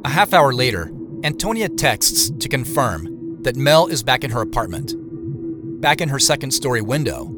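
Actor as anxious man, self-blaming, lively pitch swings, audio drama, music behind. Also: normal speed, natural voice. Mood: matter-of-fact narration. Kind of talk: naturalistic audio drama acting with first-person narration.

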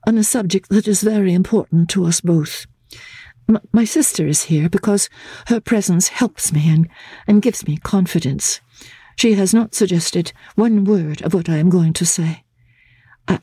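A woman voicing a gentle, composed young lady in a classic literary drama, speaking formally and earnestly in a soft, breathy, sometimes hesitant voice. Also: lowish voice, dry recording, voice pushed up. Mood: nervous, earnest. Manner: formal, measured, hesitant in places.

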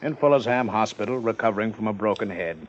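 An actor playing a police official in a 1950s radio drama, speaking in a formal, precise, dry manner. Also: male voice, on the low side, fast, close to an everyday voice. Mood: stern, official. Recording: dull old 1950s radio recording.

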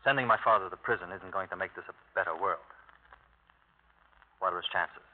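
An actor playing a tough, loyal grown son in a 1950s radio drama, terse and sardonic, with an American accent. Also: male voice, deep voice, brisk. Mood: quietly pressing. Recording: tinny, noisy old radio broadcast.

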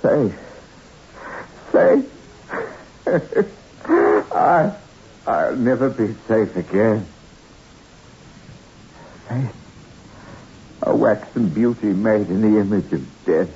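A haunted, delirious male castaway in an old radio horror play. His speech is halting and very slow, lurching in pitch with feverish torment. The recording sounds dull and muffled. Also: animated, exaggerated voice. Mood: delirious despair. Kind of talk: 1960s radio drama acting.

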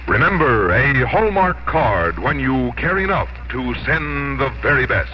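A man's voice: medium pitch, very animated, a little slow, background noise. A man working as a radio announcer, delivering formal scripted copy in 1950s broadcast style. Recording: dull 1950s radio recording, faint music.

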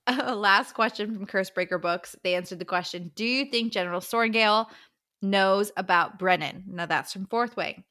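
Bright-voiced woman hosting a book chat. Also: medium pitch, moderate pace. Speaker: book podcast co-host. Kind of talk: casual chat and reading out listener answers.

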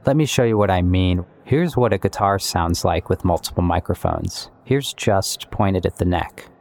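A man's voice: low voice, normal speed, darkish tone. A man presenting as a composer and musician, explaining his work in scripted but conversational podcast narration. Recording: music under the voice. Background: guitar and plucked string music.

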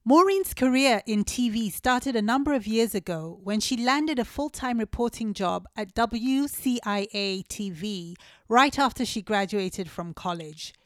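A woman hosts an interview podcast in a fairly high voice. The sound is dry, with very little room in it. She presents like a host introducing and questioning a guest. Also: animated, measured pace, hardly any pauses, no room sound.

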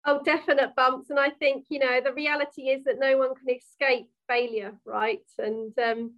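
A woman's voice: high-pitched, little pitch movement, moderate pace, dull audio. A woman on a panel talking about leading teams, reflective and thinking aloud as she goes. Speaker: panelist, team leader. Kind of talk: panel discussion.

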